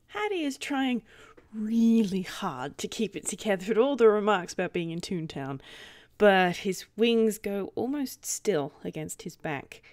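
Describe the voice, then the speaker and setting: A woman reads aloud what her character does in a tabletop roleplay. She has a middle-pitched voice and delivers it as third-person narration. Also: animated, a little slow, darkish tone. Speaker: roleplay player and reader.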